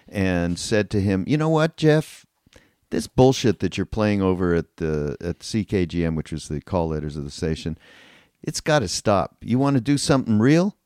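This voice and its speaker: Older man with a low, dry-recorded voice. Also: a little mellow, dry recording. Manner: rambling, informal. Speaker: podcast host. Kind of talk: casual chat, reminiscing.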